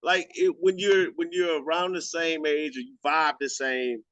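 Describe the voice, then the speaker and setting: A man in his forties with a fairly high voice hosts in a loose, joking way. He swears freely and breaks into laughter, and the sound is close and dry. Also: fairly even intonation, moderate pace, fairly bright tone, no room sound, dull audio. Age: 40s. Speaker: radio show host, DJ. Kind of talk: casual interview chat.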